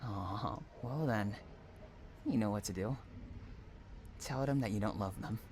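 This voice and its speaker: A man playing an obsessive, unhinged stalker and kidnapper in an intimate audio roleplay, taunting and swinging between soft coaxing and menace. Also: fairly low pitch, measured pace, a little hiss or hum, lightly acted. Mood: soft, threatening.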